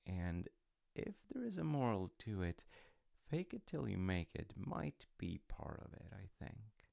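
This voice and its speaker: Guy playing a gentle dad rambling through a folktale, real low gravelly voice, wry little asides, audio roleplay. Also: sing-song, no echo, heavily acted. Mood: wry, musing. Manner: unhurried, conversational, musing, with wry asides. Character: gentle, wryly amused dad telling his kid a folktale. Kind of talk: audio roleplay, rambling bedtime-style storytelling.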